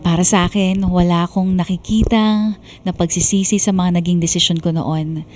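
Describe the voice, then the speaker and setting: A woman's voice: on the low side, fairly even intonation, normal speed, darkish tone, close to an everyday voice. A woman playing a reflective young woman narrating her own life story in a Tagalog radio drama. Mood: reflective, earnest. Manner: reflective, earnest. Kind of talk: scripted first-person radio drama narration. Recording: music bed underneath.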